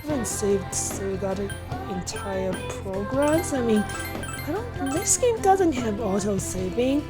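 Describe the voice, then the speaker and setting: A woman with a fairly high voice chats casually over clear game music. She talks slowly and rambles, with a giggly, meandering manner, as she streams her play. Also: very animated. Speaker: livestreamer playing a visual-novel game. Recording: music behind the voice.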